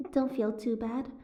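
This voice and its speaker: A woman's voice: a little high, warm tone. A woman playing a sardonic, guarded captive mermaid in an audio roleplay, with a wry, teasing, prickly manner.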